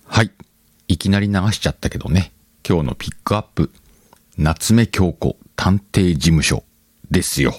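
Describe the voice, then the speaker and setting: A man's voice: sits low, animated, normal speed, darkish tone, breathy edge, no echo, clean studio sound. A man hosting a solo podcast, chatting casually to his listeners and thinking aloud as he goes. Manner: conversational, thinks aloud, addresses listeners directly. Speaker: podcast host. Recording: background music under the voice.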